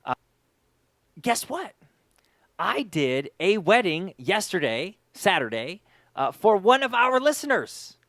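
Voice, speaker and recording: A man's voice: sits high, sing-song, takes it slowly, pauses often, a bit bright, no echo, clean studio sound. A man hosting a podcast in casual chat, speaking emphatically and often repeating words for emphasis. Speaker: podcast host.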